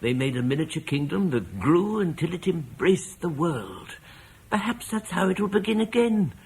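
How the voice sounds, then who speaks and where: A solemn idealist roman landowner, radio play, formal, bit of background hiss. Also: male voice, fairly high pitch, very animated, moderate pace, creaky, darkish tone. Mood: grave, idealistic. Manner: formal, eloquent. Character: grave, idealistic Roman landowner rallying resistance. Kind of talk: British radio drama acting.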